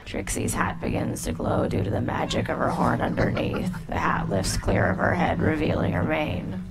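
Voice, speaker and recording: A woman reads stage directions aloud at a live script reading, plain and matter-of-fact. Her voice sits low, with a gravelly, creaky edge. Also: expressive, moderate pace. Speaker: narrator.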